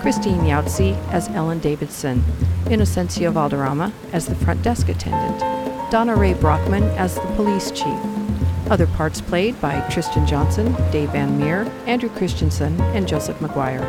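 Low-voiced woman reading radio credits. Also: lively intonation, normal speed. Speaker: announcer. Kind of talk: closing credits announcement. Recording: announcement over loud music and rain.

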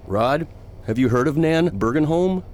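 A man playing a calm, reasonable patrol chief in a science fiction radio drama, composed and patient. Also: on the high side, moderate pace, a little hiss or hum, natural voice. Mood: calm, assured.

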